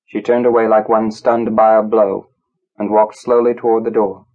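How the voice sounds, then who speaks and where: A man narrating a literary story from a script, in a measured, grave, dramatic storytelling manner. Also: on the low side, flat delivery, narrow, tinny audio. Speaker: audiobook narrator.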